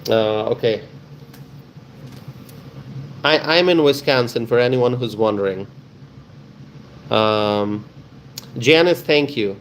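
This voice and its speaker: A man's voice: mid-range voice, takes it slowly, long pauses, slight background noise. A man with a Bangladeshi accent hosting a casual live stream, chatting with viewers in a friendly, welcoming way and often repeating himself.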